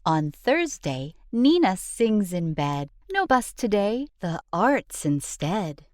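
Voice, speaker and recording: A woman reading a rhyming children's book aloud in an expressive, sing-song storyteller's delivery. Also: medium pitch, takes it slowly, no room sound, clean studio sound.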